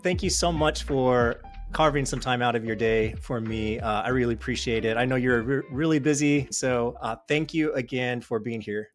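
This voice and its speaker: A man's voice: mid-range voice, normal speed, faint music behind. A man hosting a casual interview, upbeat and encouraging, with some "um" fillers. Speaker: podcast host.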